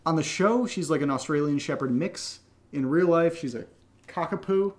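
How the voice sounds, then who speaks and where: A man co-hosting a podcast in casual chat, even-keeled, with dry, teasing humour. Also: on the high side, moderate pace. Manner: level-headed, even-keeled. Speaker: co-host of a horror audio drama podcast, reading listener questions.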